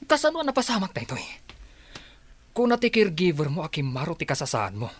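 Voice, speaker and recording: A man acting a shifty, excuse-making office worker with a husky voice in an Ilocano radio drama. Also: on the high side, huge pitch swings, fast talker, exaggerated voice. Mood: nervous, flustered.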